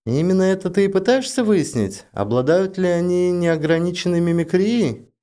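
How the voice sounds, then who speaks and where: A man's voice: sits high, expressive, normal speed, dark tone, voice pushed up. A man voicing a tense female space expedition commander, urgent and demanding in her questions, in an audiobook drama. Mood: worried.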